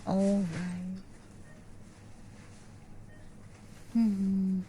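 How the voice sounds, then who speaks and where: Slow, hesitant goblin girl played by a woman. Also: medium pitch, little pitch movement, warm tone, a little hiss or hum. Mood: flustered, shy. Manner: hesitant, rambling, earnest, halting. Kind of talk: ASMR roleplay audio drama.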